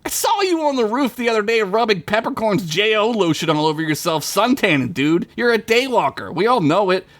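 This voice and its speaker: A man's voice: sits high, big pitch swings, brisk, few pauses, no room sound, voice pushed up. A man voicing a blunt, fed-up bartender in a comic story, sarcastic and matter-of-fact. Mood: exasperated.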